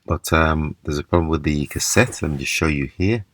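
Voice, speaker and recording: A man giving a casual, hands-on repair demonstration, explaining haltingly step by step. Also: rumbling low, noisy background. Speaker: repair video presenter. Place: small indoor room at a table.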